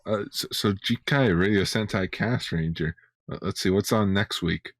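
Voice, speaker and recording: A man's voice: deep voice, normal speed, no room sound. A man co-hosting a podcast in casual fan chat, informal and sweary in his way of talking. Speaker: podcast co-host.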